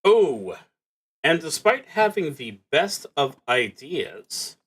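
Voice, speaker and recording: A man thinking aloud as he narrates a solo tabletop roleplaying game, in a halting, musing, wry way. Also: fairly high pitch, sing-song, a bit bright, breathy edge.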